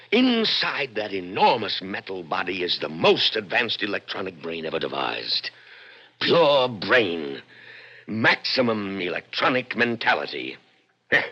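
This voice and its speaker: An actor in a 1960s radio drama playing a brooding, intense scientist with theatrical, deliberate emphasis. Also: male voice, fairly low pitch, pushed and bright, slightly rough, full character voice. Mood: proud, commanding. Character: obsessive brilliant male scientist, brooding and intense. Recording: lo-fi old radio broadcast recording.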